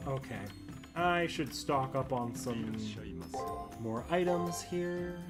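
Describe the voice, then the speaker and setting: A man's voice: a little high, slow pace. Man chatting casually while gaming, music behind. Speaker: streamer.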